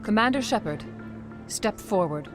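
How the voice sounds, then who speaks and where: An actress playing a composed, diplomatic alien councilwoman in a video game, speaking in a measured, formal way. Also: medium pitch, expressive, close to an everyday voice. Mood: solemn, ceremonial. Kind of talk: dramatic video game acting. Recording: loud film music behind.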